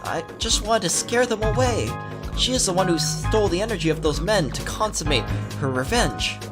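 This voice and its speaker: A let's player voicing a furious, scornful magical girl from a visual novel, shouting and scolding. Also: medium pitch, animated, moderate pace, music in the background, voice pushed up, close to an everyday voice. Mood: agonized, pleading.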